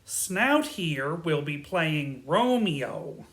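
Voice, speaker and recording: A woman playing an earnest, slightly bossy amateur theatre director in a comic audio drama, announcing things deliberately. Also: deep voice, big pitch swings, full character voice. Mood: officious.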